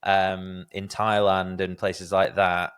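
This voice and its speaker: Low, smooth-voiced male podcast host. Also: flat delivery, takes it slowly, a bit bright. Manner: candid, self-deprecating storyteller. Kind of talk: casual conversational interview.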